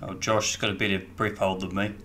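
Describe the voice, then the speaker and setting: A man's voice: deep voice, flat delivery, quick pace, a bit bright, slight background noise. A man with a New Zealand accent giving casual voice-over commentary as a diving vlogger. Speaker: vlog host and diver.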